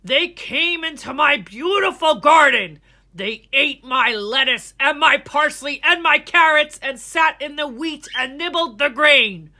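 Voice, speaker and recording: Woman acting out a loud, furious storybook farmer, pitched high. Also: animated, heavily acted. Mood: angry, distraught. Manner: loud, indignant, drawn-out. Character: furious, loud farmer lamenting his ruined garden, man.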